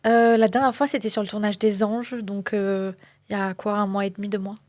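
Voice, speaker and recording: A woman's voice: medium pitch, flat delivery, fairly quick, a little mellow, no room sound. Chatty woman in her late twenties. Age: late 20s. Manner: chatty, frequent hesitations. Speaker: reality TV contestant guest. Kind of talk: casual interview.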